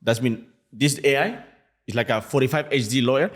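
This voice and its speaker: A young man from Mali talks fast and keeps up a lively, bouncing delivery. He speaks English as a second language, with simple phrasing. He is a musician telling stories as a podcast guest. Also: medium pitch. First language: a Malian language. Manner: fast, animated talk in non-native English, acts out quoted people. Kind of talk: casual podcast chat.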